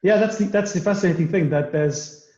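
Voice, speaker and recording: A man hosting a podcast, chatting in an animated way and often repeating words. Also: high voice, a little fast. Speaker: podcast host. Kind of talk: conversational interview. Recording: echoey, muffled room sound.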